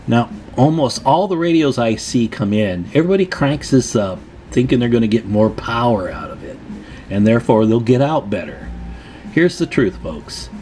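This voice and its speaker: Male tutorial presenter, mid-pitched, plain-spoken and informal, talking viewers through hands-on work over music. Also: sing-song, moderate pace, darkish tone. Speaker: radio repair tutorial presenter. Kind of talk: hands-on casual tutorial narration.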